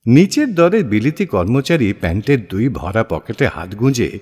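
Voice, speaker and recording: A man narrating a literary audio story in a measured, expressive, deliberate way with pauses. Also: medium pitch, slightly rough. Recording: long hall-like reverb.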